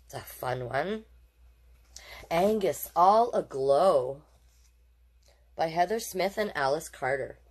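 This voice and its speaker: A woman's voice: low voice, animated, slow pace, long pauses, darkish tone. A woman hosting a children's storytime, reading picture books aloud with playful, encouraging asides to young listeners. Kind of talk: picture-book read-aloud with chatty asides.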